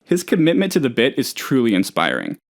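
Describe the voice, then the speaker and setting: A man's voice: mid-range voice, huge pitch swings, fairly quick. A man playing an eccentric, eager, scatterbrained office worker in a comedic video game, rambling earnestly about absurd things. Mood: cheerful, eager. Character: eccentric, eager, scatterbrained male office colleague, cheerfully odd.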